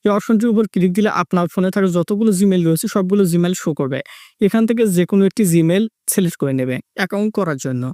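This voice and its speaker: A man's voice: high-pitched, quick pace, a little mellow, no echo, studio quality. A man narrating a step-by-step tech tutorial, repeating his instructions as he goes. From Bangladesh. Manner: repetitive instructional phrasing.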